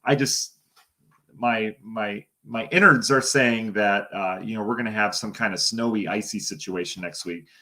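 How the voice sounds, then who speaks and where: A hoarse man giving a casual live-streamed weather briefing, thinking aloud as he talks through forecast maps. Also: fairly low pitch, moderate pace, pushed and bright. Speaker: TV meteorologist.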